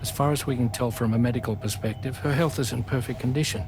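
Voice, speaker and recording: An actor playing a grave, analytical scientist-medic in a sci-fi video game, speaking precisely. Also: male voice, medium pitch, quick pace, natural voice. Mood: grave, explanatory. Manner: precise, explanatory. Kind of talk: video game cutscene acting.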